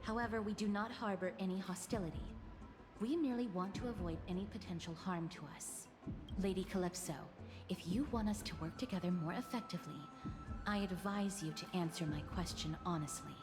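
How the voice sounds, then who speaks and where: A woman voicing a young goddess of death in a video game, formal, courteous and quietly firm. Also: fairly low pitch, a little fast, over music, natural voice. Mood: polite, guarded. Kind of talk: video game story dialogue. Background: game soundtrack.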